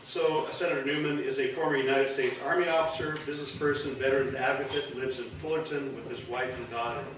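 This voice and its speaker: A man's voice: medium pitch, flat delivery, fast talker, hardly any pauses, noisy background. A man in his sixties giving an informal, rambling speech at a press event, with dry asides and no microphone. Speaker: former state senator, event speaker. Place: large room with a backdrop, indoors. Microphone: no microphone, recorded by a distant camera. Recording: echoey room from a distance.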